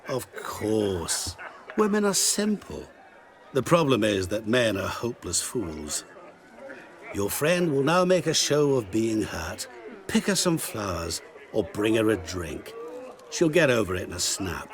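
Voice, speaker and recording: A man's voice: on the low side, sing-song, a little slow. A man playing a sly, eloquent, worldly schemer in a video game, theatrical and smug. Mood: smug, amused. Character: smooth, sly male enchanter giving worldly romantic advice. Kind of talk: video game dramatic acting.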